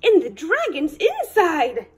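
A woman voicing an excitable storybook witch who cries out in exclamations. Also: very high-pitched, slow, heavily acted. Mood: grateful. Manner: crying out in exclamations.

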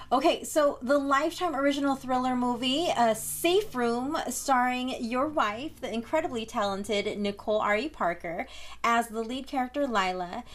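A woman in her thirties hosting an entertainment TV show, asking questions from a studio set with bubbly enthusiasm. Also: fairly high pitch. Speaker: host. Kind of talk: entertainment TV interview.

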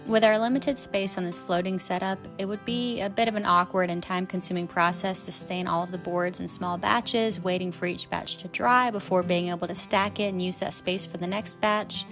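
A woman's voice: lowish voice, fairly even intonation, moderate pace, few pauses, a bit bright. A woman narrating a home renovation vlog in a scripted voice-over, upbeat and storytelling. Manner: upbeat, storytelling, light self-deprecating humor. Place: voice-over, not recorded on site. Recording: music under the voice-over.

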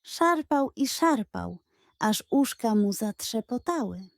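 A woman narrating a scripted bedtime story for children in an expressive storytelling style. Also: on the high side, takes it slowly, gravelly, no echo, clean studio sound.

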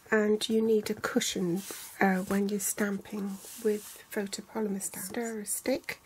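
An older woman calmly walking through a card-making tutorial step by step, reading out measurements in inches and centimeters. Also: on the high side, slight background noise, low-quality recording. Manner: calm, measured, reads out sizes in inches and centimeters.

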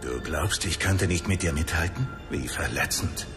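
A steadfast warrior of the light in a fantasy audio drama. His lowish voice is assured and lively in pitch, with noticeable room echo and faint music behind it. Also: normal speed. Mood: gently teasing.

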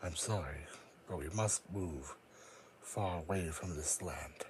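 A man voicing a proud, wary psychic creature in a grave, halting, formal manner for a dramatic fan audio roleplay. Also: deep voice, noisy background. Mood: solemn, troubled.

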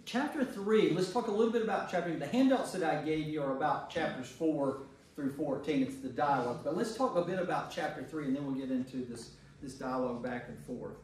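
A man's voice: a little high, fairly quick, darkish tone. American man teaching in a very echoey room. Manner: conversational, puts questions to listeners, checking in with "right?". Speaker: priest leading a Bible study. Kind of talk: informal Bible lesson to a group. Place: indoor church meeting room with a group. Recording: echoey room from far off, noisy.

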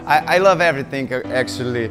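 A man's voice: medium pitch, quick pace. A man in his twenties with a Slovenian accent, talking informally and slangily in a casual interview. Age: 20s. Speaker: dancer and dance teacher. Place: dark indoor dance studio. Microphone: lapel mic. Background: guitar music.

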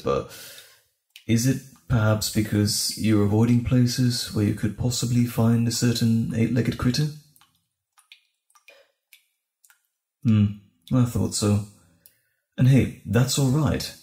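Gentle, caring boyfriend played by a man, echoey. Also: mid-range voice. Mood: gentle, understanding. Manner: soothing, tender, lightly teasing, halting. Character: gentle, caring boyfriend comforting frightened partner. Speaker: audio roleplay performer. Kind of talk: intimate audio roleplay acting.

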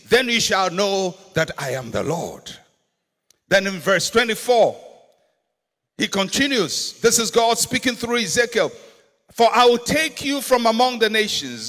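An older man with a Ghanaian accent delivers an emphatic sermon with a bright, ringing tone set high for a man. He slows down to land key words and builds up to shouts. The sound carries a long reverb from a big auditorium. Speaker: pastor. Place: large church auditorium stage. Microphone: handheld microphone.

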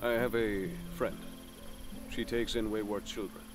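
A brooding assassin, played by a man, speaks in a lowish voice. He is sober and weary, grave in manner, in an audio drama with faint music behind him. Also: steady tone, unhurried, close to an everyday voice. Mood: subdued, gentle.

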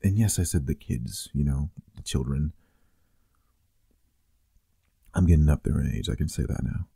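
Guy playing a teasing boyfriend for asmr, super raspy and mellow, close dry sound, affectionate murmuring. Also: extremely low pitch, brisk, dry recording, heavily acted. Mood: playful teasing. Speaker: ASMR roleplay performer. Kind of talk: intimate boyfriend roleplay ASMR, casual chat.

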